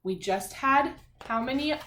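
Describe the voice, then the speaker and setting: A woman's voice: high voice, lively intonation, unhurried, sharp tone, slight background noise. A woman elementary teacher leading a read-aloud math lesson for young children, speaking in an encouraging, singsong, questioning way. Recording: echoey room.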